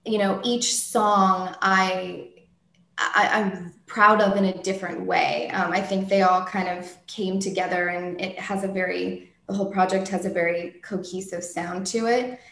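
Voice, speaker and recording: Soft-voiced woman in a roomy space. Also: mid-range voice, fairly even intonation, unhurried, gravelly. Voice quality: soft soprano voice. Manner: soft-spoken, thoughtful, many ums and restarts. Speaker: singer-songwriter guest. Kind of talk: casual interview answers.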